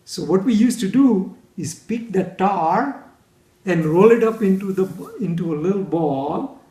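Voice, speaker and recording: A man in his seventies with an Indian accent, slowly recalling his childhood in a family interview. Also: sits high, expressive, mellow. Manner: slow, reminiscing. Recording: echoey room.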